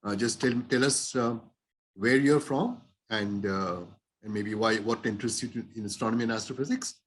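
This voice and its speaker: A man with an Indian English accent, hosting an online class as lecturer and speaking in a halting way with many fillers, over a video call. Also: lowish voice, rough recording. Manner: halting, lots of uh and restarts.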